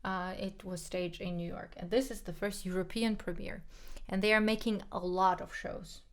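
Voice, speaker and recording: A woman's voice: medium pitch, steady tone, normal speed. A woman whose first language is Georgian, co-hosting a podcast in casual, frank chat, with many fillers and repeated words. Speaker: podcast co-host, pianist.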